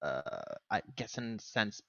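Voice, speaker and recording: A man's voice: lowish voice, expressive, moderate pace, a bit bright, dry recording, dull audio. A man chatting casually as a player in a tabletop game, talking through his moves in a wordy, roundabout way.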